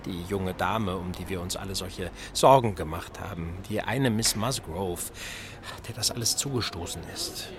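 An actor playing a chatty, absent-minded older admiral in a period radio play, musing and jovial. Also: male voice, low voice, normal speed, background noise. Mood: genial, confiding.

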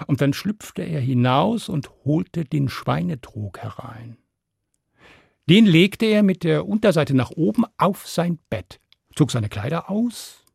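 A man telling a fairy tale to children in an expressive, dramatic storyteller's manner with deliberate pauses. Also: on the high side, gravelly, breathy edge, no room sound, studio quality.

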